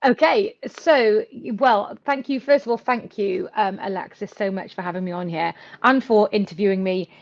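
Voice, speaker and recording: A woman's voice: medium pitch, moderate pace, gravelly, few pauses, dry recording. A middle-aged woman from the UK speaking chattily as she explains things as an interview guest. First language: English. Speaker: interview guest, company founder and CEO. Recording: dull, slightly lo-fi audio.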